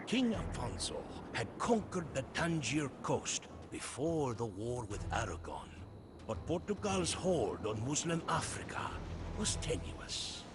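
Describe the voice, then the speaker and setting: A man's voice: mid-range voice, very animated, measured pace, noisy background. An actor playing a loyal soldier who solemnly and theatrically recounts his captain's campaigns as the storybook narrator of a game. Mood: reflective, solemn. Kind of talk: dramatic storybook cutscene narration. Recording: studio narration under loud music.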